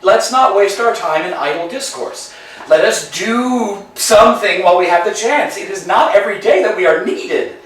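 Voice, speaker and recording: A man's voice: high voice, lively intonation, a bit bright, slight background noise. A man playing a wordy, restless tramp. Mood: musing. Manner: wordy, musing, quick swings between elation and gloom. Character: restless, philosophizing male tramp waiting endlessly. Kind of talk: staged play reading on foot. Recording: roomy echo, voice far from mic.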